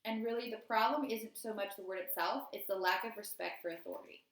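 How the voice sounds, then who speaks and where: Woman playing a measured lady principal, echoey. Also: medium pitch, gravelly, lightly acted. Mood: measured, diplomatic. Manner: measured, diplomatic. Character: formal, reasonable woman school principal. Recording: roomy, echoey indoor sound.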